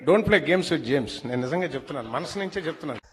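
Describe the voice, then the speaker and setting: A man's voice: a little high, lively intonation, quick pace, natural voice. A man delivering dramatic film-style dialogue.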